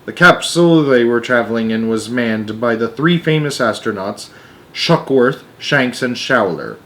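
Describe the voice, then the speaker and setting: A man storyteller reading a children's book aloud in an expressive, deliberate and emphatic way, with occasional stumbles. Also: medium pitch.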